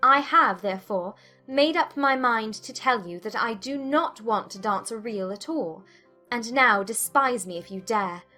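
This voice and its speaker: A woman voicing a witty, self-assured young Regency gentlewoman, arch and teasing, in an audiobook. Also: on the high side, animated, measured pace, faint music behind. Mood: playful, arch. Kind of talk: dramatized audiobook dialogue.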